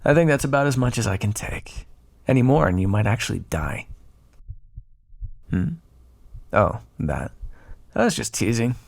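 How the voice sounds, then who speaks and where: An actor playing a flirtatious, smugly predatory yet caretaking male vampire in an intimate ASMR roleplay, with a breathy voice and teasing asides. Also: medium pitch, big pitch swings, moderate pace, pauses often. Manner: teasing, drawling asides with soft sighs and chuckles. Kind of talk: ASMR roleplay, intimate second-person acting.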